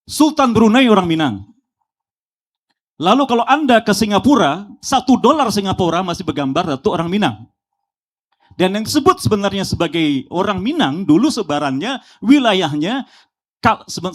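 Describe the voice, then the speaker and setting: High-voiced man lecturing with emphasis. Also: big pitch swings, normal speed. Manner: emphatic lecturing, lists facts with rising force. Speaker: preacher. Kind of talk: speech at a press gathering.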